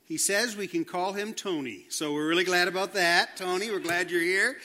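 A man's voice: high-pitched, normal speed, bright, forceful tone. A man hosting a Saturday night church service, introducing a guest and interviewing him in an upbeat, encouraging way. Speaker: church host. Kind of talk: friendly interview.

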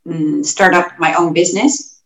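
A woman from the Netherlands, a guest telling her life story in an animated, self-correcting way. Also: fairly low pitch, measured pace, creaky. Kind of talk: casual interview storytelling. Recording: roomy echo, faint music.

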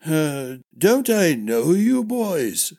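Man putting on a raspy, doddering old master-at-arms. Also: on the high side, very animated, extremely slow, dark tone, voice pushed up, heavily acted. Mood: formal, confused. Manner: formal, grumbling, hard of hearing. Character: very old forgetful gruff formal male master-at-arms.

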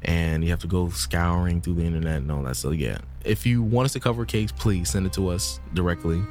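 Deep-voiced laid-back man podcasting. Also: a little fast, a little mellow, soft background music. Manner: laid-back, rambling, lots of "you know" and "um"; upbeat promo sign-off push. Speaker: podcast co-host. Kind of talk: casual podcast chat.

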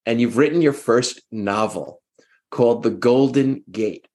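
A man hosting a podcast and posing long, measured interview questions. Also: mid-range voice, a little mellow.

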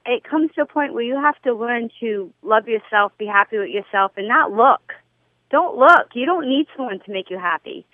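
A woman's voice: a little high, animated, moderate pace, dry recording. A woman in her fifties with a young-sounding voice, calling in to a radio show and chatting casually with frequent ums. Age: 50s. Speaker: caller. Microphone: telephone. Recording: tinny phone line.

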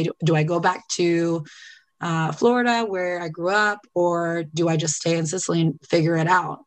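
A woman with a fairly low voice speaks candidly as a podcast guest. She is reflective and unhurried, often pausing and restarting her thoughts. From Italy. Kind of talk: reflective personal interview.

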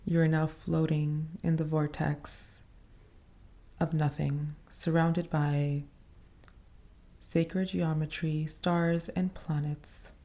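A woman leading a guided meditation in a soothing, unhurried manner, pausing between short phrases. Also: low voice, level tone, warm tone.

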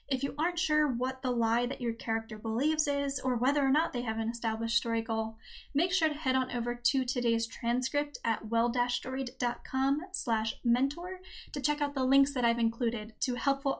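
Female podcast host, high pitch, slightly scratchy, upbeat read. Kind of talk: reading a writing-craft article aloud.

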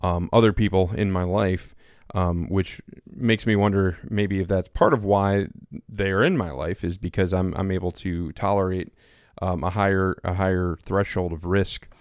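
Man, very low pitch, loud carrying voice, rambling with fillers, dry close sound. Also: fairly even intonation, moderate pace, few pauses, no room sound. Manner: rambling, many fillers and restarts. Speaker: pastor and podcast co-host. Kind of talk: casual conversation. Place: small home studio.

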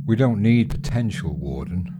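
Alien overlord, played by a man, audio drama: low, commanding, demanding, short clipped orders over heavy noise. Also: animated, normal speed, lightly acted. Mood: stern. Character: stern, demanding alien superior, male. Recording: clearly noisy, ambient sound behind.

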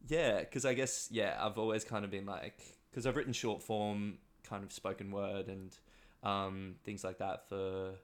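A man's voice: on the low side, fairly even intonation, measured pace. A man from Australia chatting casually as a playwright guest on a podcast. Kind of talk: casual chat.

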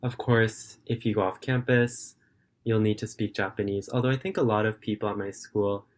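A young man in his late teens answers interview questions over a video call. He talks in a relaxed, earnest way, often hedging with fillers. His voice sits somewhat low. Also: normal speed. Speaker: remote English tutor and high school student.

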